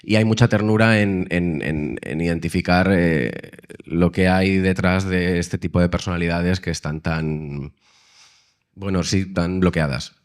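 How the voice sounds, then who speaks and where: A reflective man answers on a stage panel, pausing often and hedging as he thinks. His voice sits very low in pitch. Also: steady tone. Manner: hesitant, reflective, many fillers. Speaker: actor.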